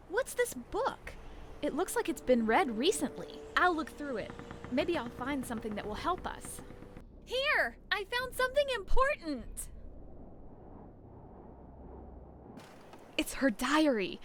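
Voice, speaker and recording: An actress voicing a plucky, eager young daughter in a video game adventure, earnest and excitable. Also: female voice, high-pitched, a little hiss or hum. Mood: eager, excited. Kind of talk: video game character acting.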